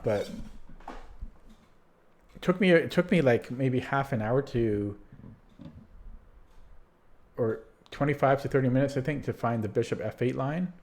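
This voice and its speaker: A man's voice: mid-range voice, darkish tone. Tired man streaming chess puzzles. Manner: tired, rambling, many restarts and fillers. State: losing his voice. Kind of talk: casual live-stream chat.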